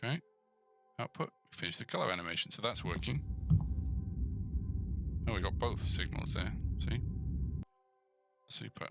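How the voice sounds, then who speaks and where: A man's voice: on the low side, animated, normal speed, creaky, long pauses, a bit bright, faint music behind. A man giving an informal screencast coding tutorial, thinking aloud and checking in with the viewer as he goes. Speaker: programming tutor.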